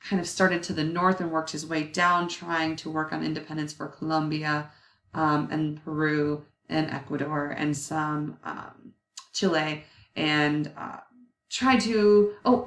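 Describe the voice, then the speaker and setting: A woman's voice: sits low, fairly bright tone. A woman in her thirties giving a recorded history lecture over slides, chatty and often correcting herself with ums. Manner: conversational, self-correcting, many ums. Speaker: history teacher. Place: indoor room. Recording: roomy, slightly distant webcam audio.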